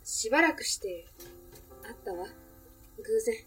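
Actress as nostalgic female cafe owner, high-pitched, feminine, reflective, radio drama, faint music behind. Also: expressive, a little slow, a bit bright, distant mic, low-quality recording. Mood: wistful, nostalgic. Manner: reflective, feminine, emotive storytelling. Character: wistful female cafe owner recalling a lost love. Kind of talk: Japanese audio drama acting.

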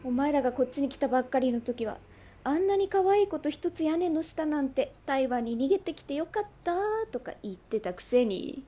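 A woman playing a brash, bossy underworld boss in a radio drama, with rough, masculine, commanding speech. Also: sits high, lively intonation, fairly quick, mellow. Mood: teasing, pushy.

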